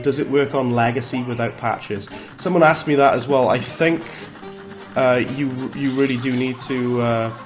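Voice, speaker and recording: A Welsh-accented man talks through a live game stream in a relaxed, chatty way, greeting viewers and reacting out loud as he plays. His voice sits in an ordinary middle pitch. Faint game music runs quietly behind him. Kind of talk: live gameplay commentary and chat replies.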